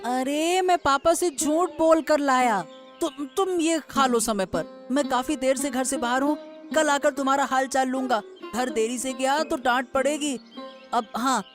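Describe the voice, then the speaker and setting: A woman plays a polite young village boy in a cartoon. The voice is high and earnest, speaking respectfully, with prominent music behind it. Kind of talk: Hindi cartoon dialogue.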